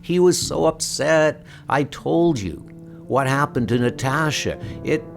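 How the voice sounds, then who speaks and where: A man's voice: a little high, expressive, a little slow, gravelly, voice pushed up. A man voicing a nervous, defensive young superhero with a hesitant stammer in a comic book audio drama. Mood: flustered, defensive. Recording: music under the voice. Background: music.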